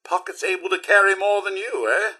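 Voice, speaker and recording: An actor playing a fussy, loyal robot butler in a video game, with a British accent, a slightly raspy voice and a prim, polite, theatrical delivery. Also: male voice, sits high, brisk, sharp tone, heavily acted. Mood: dryly teasing. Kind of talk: video game companion voice lines.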